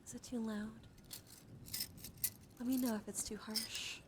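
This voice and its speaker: A woman streaming ASMR roleplay as a soothing bedtime monologue, speaking gently and reassuringly in slow, short phrases that often drop into whispers. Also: a little high, flat delivery, heavy background noise. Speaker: live streamer, ASMR host.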